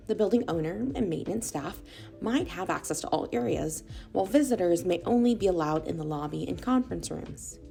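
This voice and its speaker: A woman reading a scripted corporate explainer in a measured, instructional way. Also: fairly low pitch, vocal fry, dark tone. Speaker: narrator of a corporate product video.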